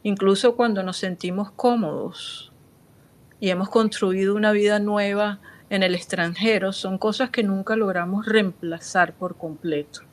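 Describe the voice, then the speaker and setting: A woman's voice: mid-range voice, fairly even intonation, unhurried. A woman hosting an interview, asking long, framed questions with fillers. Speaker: interview host.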